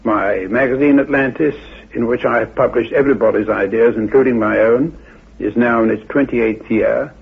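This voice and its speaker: A man's voice: mid-range voice, moderate pace, creaky, breathy edge. A man in his eighties, an elderly scholar answering in an interview and recounting his life. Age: 80s. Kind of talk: interview, telling life story. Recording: narrow muffled old radio recording.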